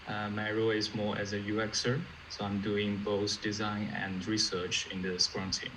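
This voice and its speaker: A man's voice: on the low side, monotone, normal speed, background noise. A man introducing himself as a UX designer at an online meetup, polite and a bit hesitant with "uh" fillers.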